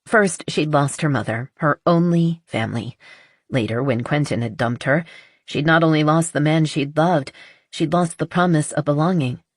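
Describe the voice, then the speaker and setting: A woman's voice: low-pitched, moderate pace, no echo, clean studio sound. A woman reading a romance novel as scripted audiobook narration.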